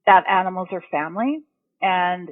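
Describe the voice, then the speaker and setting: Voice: mid-range voice, normal speed. An interview guest gives plain, matter-of-fact answers. The voice comes through a tinny, phone-like remote connection with a dry, close sound and no room echo. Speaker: animal emergency response guest.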